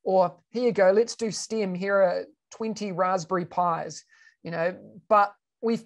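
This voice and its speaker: A man in his thirties with a New Zealand accent, speaking on a video-call panel as an education consultant, filling his talk with "you know" and restarts. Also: high voice. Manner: conversational, frequent "you know" fillers and restarts. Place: home room. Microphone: video call webcam.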